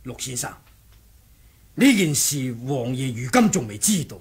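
An actor playing a cunning, composed martial monk in a 1980s radio drama, husky and deliberate in his sly bargaining. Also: medium pitch, big pitch swings, exaggerated voice. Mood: calm scheming. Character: cunning martial monk who feigned muteness, scheming and composed.

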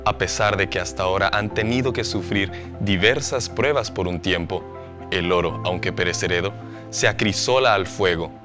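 A man's voice: low voice, moderate pace. A man giving a solemn scripted reading of scripture as a voice-over. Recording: voice-over with music behind it.

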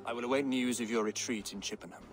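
A cold, calculating Saxon king voiced by a man in game drama. He speaks with regal, stern measure at a middle pitch, with music behind him. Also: steady tone, quick pace, close to an everyday voice. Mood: coldly taunting. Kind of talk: dramatic video game acting.

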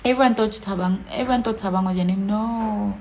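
A woman's voice: mid-range voice, brisk, a little mellow, a little hiss or hum. A young South African woman chatting casually on a phone live stream from a small room, reading out comments with a playful manner that drops into whispers. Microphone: phone at arm's length.

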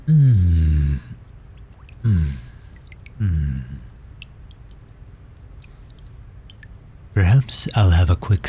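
A man's voice: very deep voice, warm tone, slight background noise, full character voice. A man playing a refined, courteous villain and inventor in an intimate audio roleplay, speaking formally and solicitously, as if musing aloud. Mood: relaxed, musing. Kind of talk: intimate audio roleplay, ASMR-style acting.

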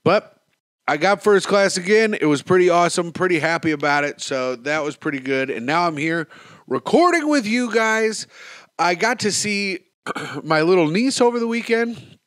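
A young man hosting a podcast alone, talking in a rambling, self-mocking way with deadpan asides, his nose stuffed up from a cold. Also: high voice, normal speed, no echo. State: stuffed up, sniffling. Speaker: podcast host. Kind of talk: solo podcast chat.

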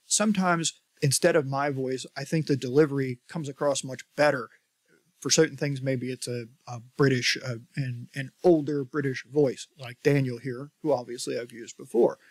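A man in his forties with an American accent hosting a casual software tutorial in a conversational, emphatic way. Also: medium pitch, no echo. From United States. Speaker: tutorial host.

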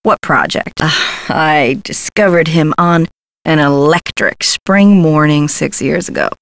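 A woman's voice: deep voice, unhurried. A woman voicing a brash, scheming Hollywood talent agent in a video game, glib and condescending, with slangy talk. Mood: slick, self-assured.

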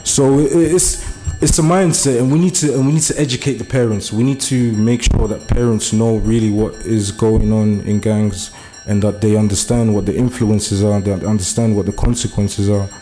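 Earnest young man giving a halting speech. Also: fairly low pitch, fairly even intonation, warm tone, soft background music. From Germany. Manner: earnest, hesitant with many fillers, building to urgent appeals. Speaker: community speaker. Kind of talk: public speech at a meeting. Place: meeting room.

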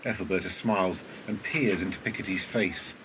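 A man's voice: sits low, normal speed, background noise. A man narrating an audio drama, reading out scripted stage directions. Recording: distant mic, faint ambience.

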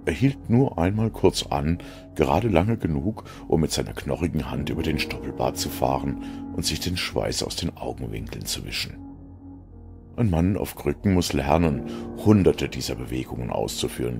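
Male narrator for a dark audio drama: bottomless register, creaky grit, brooding and theatrical. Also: extremely low pitch. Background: music.

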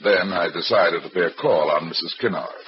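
Man acting wry low-voiced radio private eye. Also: fairly quick, close to an everyday voice. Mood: dry, wry. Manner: wisecracking, dry, slangy. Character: wry, hard-boiled male private detective narrating his case. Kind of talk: 1940s radio drama acting. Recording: muffled, noisy vintage radio recording.

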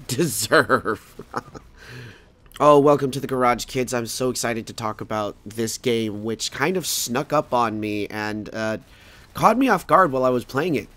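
A man's voice: mid-range voice, fairly bright tone. Rambling man reviewing a game with a cold. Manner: rambling, stammering, laughs easily. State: fighting a cold. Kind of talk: casual video game review.

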